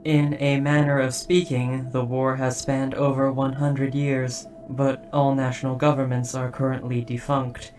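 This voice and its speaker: A performer voicing a dutiful robot soldier in an audio drama, speaking in a formal, precise, measured and softly hesitant way. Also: medium pitch, level tone, distant mic, soft background music, close to an everyday voice, poor audio. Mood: calm, formal. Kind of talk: scripted roleplay audio drama.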